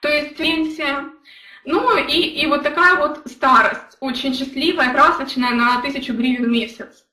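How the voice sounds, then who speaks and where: Brief: young woman, high bright voice, earnest storyteller, roomy echo, a bit off-mic. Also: measured pace, recorded from a distance. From Ukraine. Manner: earnest, storytelling, addresses chat viewers directly. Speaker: network marketing leader. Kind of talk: motivational live stream talk.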